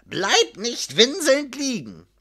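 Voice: mid-range voice, huge pitch swings, slow, pushed and bright, husky, voice pushed up, heavily acted. A performer voicing a brutal, sadistic male guard who threatens and barks orders with a growl in an audiobook story. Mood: menacing fury. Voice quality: growling, thundering.

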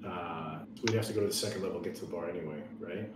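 Lowish guy chatting over a game, super roomy echo. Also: fast talker. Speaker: tabletop game player. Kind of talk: casual game chat. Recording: very echoey room, clear noise.

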